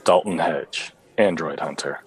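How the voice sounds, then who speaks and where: An actor playing a bitter, boozy, clueless android-hunting detective in a noir radio play, with a hard-boiled, melodramatic deadpan. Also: male voice, extremely low pitch, a little slow, husky, exaggerated voice. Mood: clueless, earnest.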